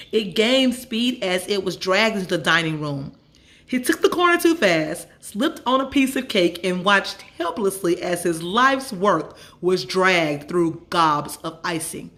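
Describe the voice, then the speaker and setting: A woman's voice: mid-range voice, expressive, unhurried, fairly bright tone. A woman storyteller narrating a children's story from a script.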